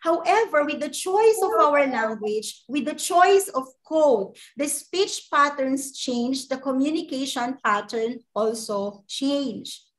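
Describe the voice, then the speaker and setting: High-voiced Filipino woman lecturing. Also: slow, few pauses, low-quality recording. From Philippines. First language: Ilocano. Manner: animated, checks in with "okay?". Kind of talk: guest lecture.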